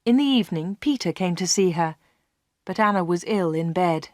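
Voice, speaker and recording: Female narrator, clear measured storybook reading, dry close sound. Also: fairly low pitch, expressive, dry recording. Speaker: audiobook narrator. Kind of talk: scripted story narration for English learners.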